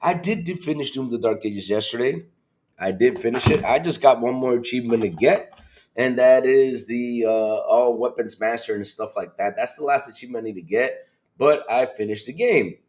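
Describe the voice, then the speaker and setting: A man hosting a casual livestream with a congested, slightly hoarse voice, swinging between loud bursts and low asides. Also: normal speed. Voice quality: hoarse, grumpy-sounding congested voice. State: congested, sick with allergies or a cold. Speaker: livestream podcast host. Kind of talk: casual livestream chat to viewers.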